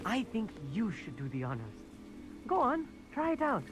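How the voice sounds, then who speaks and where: A man's voice: sits high, very animated, moderate pace, poor audio. An actor playing an eager, bookish, nervous teenage boy astronomer in a video game, chatty and slightly formal in manner. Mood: excited, proud. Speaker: companion character in a fantasy video game. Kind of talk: scripted game dialogue. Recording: game music under the voice.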